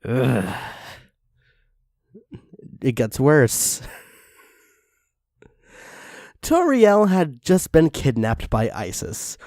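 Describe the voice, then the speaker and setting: A man's voice: on the high side, a little mellow, dry recording, clean studio sound. A man reading fan fiction casually with comic commentary, exasperated and given to swearing.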